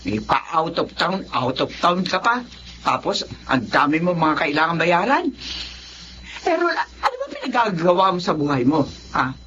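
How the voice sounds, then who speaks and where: An older Filipino mother in an emotional TV drama. She nags and scolds, her pitch moving up and down a lot. The audio is dull with light noise behind it. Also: big pitch swings, moderate pace. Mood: exasperated scolding. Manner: scolding, questioning. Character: nagging, long-suffering older mother. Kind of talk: emotional television drama acting.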